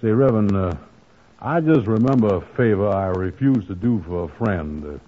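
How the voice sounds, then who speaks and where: A man's voice: lowish voice, expressive, moderate pace. An actor playing a grumpy, gullible, self-pitying man with folksy ungrammatical, hesitant talk in 1940s radio comedy style. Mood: curious, eager. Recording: tinny old radio broadcast.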